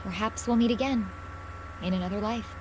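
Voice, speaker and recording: A woman's voice: medium pitch, a little fast, background noise, close to an everyday voice. A woman playing an elegant, composed young gambler in a video game, speaking with polite, refined poise. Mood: composed, wistful.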